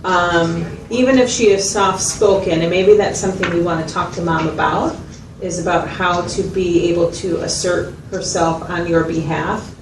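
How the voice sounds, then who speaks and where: A woman's voice: on the low side, fairly even intonation, normal speed, few pauses, natural voice. A woman playing a patient, methodical mental health clinician in a role-played consultation, explaining and checking understanding with questions. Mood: patient, explanatory. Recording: echoey, distant mic, noisy room.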